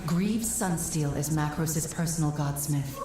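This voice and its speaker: A woman playing a grave, knowing advisor in a video game, speaking in a measured, serious, explanatory way. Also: sits low, heavy background noise. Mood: calm, instructive. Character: grave, knowing female advisor guiding a warrior. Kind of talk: video game cutscene voice acting. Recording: echoing voice with music behind. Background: game music.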